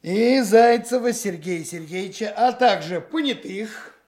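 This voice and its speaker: A senior investigator from a Soviet radio drama. His voice is high for a man and slow-paced. He gives brisk, businesslike instructions and spells things out with patient authority. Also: animated, darkish tone. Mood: businesslike dictating. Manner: brisk, instructing, deliberate dictation. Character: brisk male senior criminal investigator, commanding but patient. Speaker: actor.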